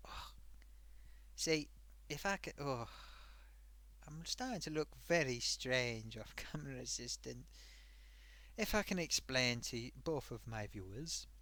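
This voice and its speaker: A man's voice: medium pitch, expressive, measured pace, pauses often, sharp tone, no echo. A man voicing a chatty sock puppet host who rambles off topic and talks to viewers, his throat getting sore. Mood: rambling. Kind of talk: rambling comedic live-stream monologue.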